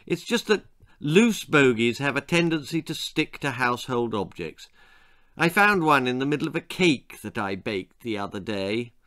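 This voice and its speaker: A man's voice: mid-range voice, big pitch swings, moderate pace, fairly bright tone, dry recording, clean studio sound. A man voicing a queasy, disapproving mother in a children's story, in a pained, complaining manner. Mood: disgusted.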